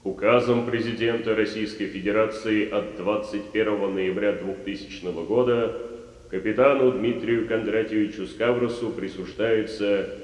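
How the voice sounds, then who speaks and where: A man's voice: on the low side, steady tone, normal speed, lightly acted. A man playing a formal official reading out a ceremonial decree. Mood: solemn. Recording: distant echoey hall, archive footage style.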